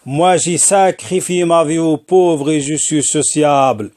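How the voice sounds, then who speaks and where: A man recites a rhymed spoken-word poem in a deliberate, declamatory way, line by line. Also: on the high side, a little mellow. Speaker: poet, former prisoner.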